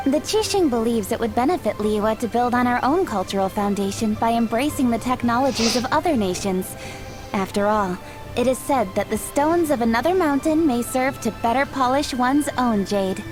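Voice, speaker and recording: A woman's voice: on the high side, lively intonation, moderate pace, over music, lightly acted. A woman voicing a poised, courteous female official in an anime game, formal and articulate. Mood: composed.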